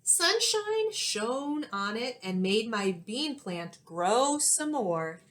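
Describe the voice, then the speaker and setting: A woman in her thirties, an elementary teacher reading a storybook aloud and giving instructions in a clear, expressive way for young children. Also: a little high, slow pace. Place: small indoor classroom-like room.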